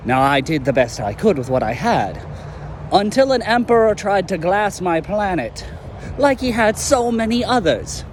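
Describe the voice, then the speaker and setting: A man's voice: high voice, very animated, moderate pace, slight background noise. A man voicing a bitter, resentful former villain in an audio drama, speaking in a cold, cutting way.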